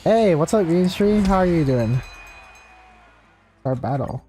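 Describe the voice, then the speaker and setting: A man's voice: fairly high pitch, lively intonation, a little slow, mellow. A man doing casual, offhand game stream commentary, chatting to viewers and reading out bits of quest text. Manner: offhand, reads dialogue aloud between asides to chat. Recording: game music behind. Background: game music.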